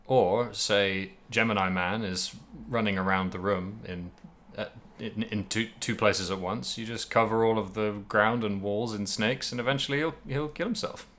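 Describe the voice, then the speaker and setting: A man with a slightly low voice, co-hosting a casual gaming podcast. He talks informally, with wry, mock-dramatic turns of phrase. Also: fairly even intonation, moderate pace, a bit bright. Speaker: podcast co-host. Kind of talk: casual video game discussion.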